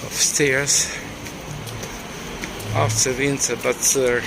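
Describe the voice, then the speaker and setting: Ukrainian guy slowly guiding a street livestream, lots of uhs, loud traffic all around. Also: medium pitch, flat delivery, vocal fry. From eastern Ukraine. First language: Russian. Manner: halting, many fillers. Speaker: livestream tour guide. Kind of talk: live walking tour commentary. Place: outdoors on city streets. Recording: loud traffic noise outdoors. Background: loud street and vehicle noise.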